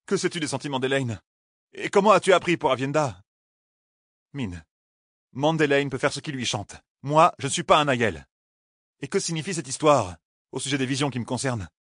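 A man's voice: medium pitch, lively intonation, normal speed, long pauses, a bit bright, no room sound, voice pushed up. Brusque young male hero for a fantasy audiobook, troubled, exasperated, close dry sound acted by a male narrator. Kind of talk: audiobook character dialogue.

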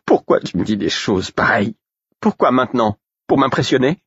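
A man's voice: low-pitched, steady tone, moderate pace, husky, dry recording, lo-fi sound. A man voicing a furious, wounded young woman in an audiobook, indignant, scornful and biting. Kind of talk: audiobook character acting.

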